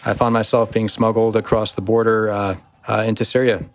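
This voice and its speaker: An American man, a surgeon, recalling his work in a thoughtful, halting way with fillers. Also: lowish voice, level tone. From United States. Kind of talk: interview recollection.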